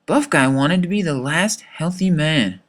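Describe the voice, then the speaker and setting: A man reading a rhyming children's story as its author, with dramatic, expressive delivery. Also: on the high side, a little slow.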